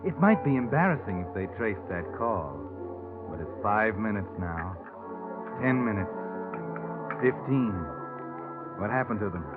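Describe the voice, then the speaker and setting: A muffled old radio recording with music behind it carries a sinister narrator. His lowish voice prods and taunts in short, ominous phrases, like an all-knowing conscience in a 1940s mystery. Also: very animated, a little slow, long pauses. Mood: ominous, tense.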